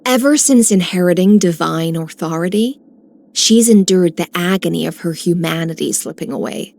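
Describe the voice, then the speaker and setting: A woman's voice: on the low side, moderate pace, creaky, a little mellow. A woman playing a composed, sardonic and reflective character in a video game. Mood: sober, reflective. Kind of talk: dramatic game voice acting.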